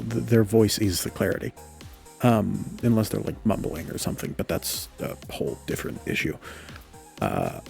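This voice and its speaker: A young man with a low voice giving a relaxed, casual tutorial on audio mixing, thinking aloud and correcting himself as he goes. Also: gravelly. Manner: relaxed, thinks aloud with self-corrections and filler "you know". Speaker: podcaster explaining audio mixing. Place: home room with a fan running. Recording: home studio mic with quiet fan noise and faint music.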